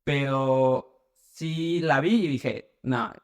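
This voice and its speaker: A man's voice: medium pitch, very slow, smooth. A man in his twenties from Mexico City with a Mexican accent, answering casually as an interview guest. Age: 20s. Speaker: interview guest, medical student and paramedic.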